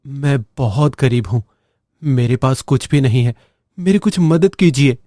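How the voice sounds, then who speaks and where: A man's voice: medium pitch, a little slow, dark tone, dry recording, clean studio sound, lightly acted. A man voicing village boys in a cartoon story, in an earnest, questioning manner. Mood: pleading. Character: village boys, one naive, one sly and greedy.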